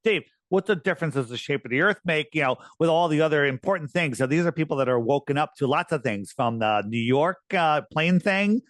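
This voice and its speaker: A man speaking as a podcast guest, earnest and emphatic, his voice worn and going hoarse. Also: animated, fairly quick, few pauses, a bit bright, dry recording, clean studio sound. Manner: earnest, emphatic, rising pitch on key points. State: losing his voice. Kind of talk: conversational interview talk.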